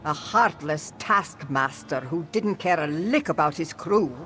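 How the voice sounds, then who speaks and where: Woman as loyal veteran cat-folk sailor, reflective, expressive swooping pitch, video game dialogue. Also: unhurried, soft background music. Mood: grateful, wistful. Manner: reflective, speaks of self in third person.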